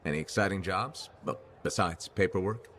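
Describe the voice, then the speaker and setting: A man's voice: low-pitched, moderate pace, a bit bright, slight background noise. A man playing an easygoing, wry freelancer pilot in a sci-fi video game. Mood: friendly, wry. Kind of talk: video game dialogue acting.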